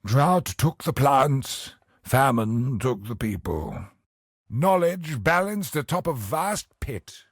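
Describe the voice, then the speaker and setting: An actor voicing a grave, bitter, ancient cosmic sorcerer in a video game, speaking in solemn, portentous, theatrical lines with a husky voice. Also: male voice, medium pitch, big pitch swings, no room sound, clean studio sound, heavily acted. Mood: brooding, ominous. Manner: solemn, portentous, dramatic slow delivery.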